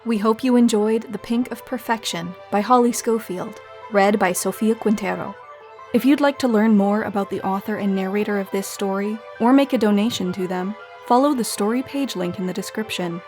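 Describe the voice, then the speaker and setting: A woman's voice: mid-range voice, normal speed, darkish tone. Woman announcer over music. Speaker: podcast announcer. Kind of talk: scripted outro announcement.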